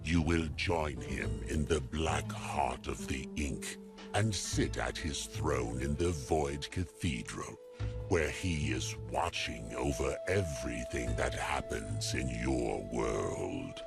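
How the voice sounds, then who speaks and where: An extremely deep, gravelly monster villain for a video game. The creature speaks slowly and pompously, a haughty servant of a dark master, with music behind. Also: male voice, heavily acted. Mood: haughty menace. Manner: slow, pompous monologue.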